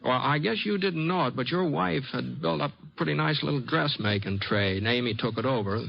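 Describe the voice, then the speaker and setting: An actor playing a calm, kindly cowboy hero in an old-time radio western, folksy and plain-spoken with a gravelly voice. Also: male voice, mid-range voice, a little fast, natural voice. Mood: friendly, easygoing. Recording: dull, lo-fi old radio recording.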